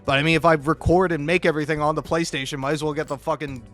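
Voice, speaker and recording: Higher-pitched man in stammering, rambling commentary. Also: fairly even intonation, racing, fairly bright tone. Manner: stammering, repeats words and restarts often; profane, self-deprecating. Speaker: YouTuber. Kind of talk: casual rambling gaming commentary.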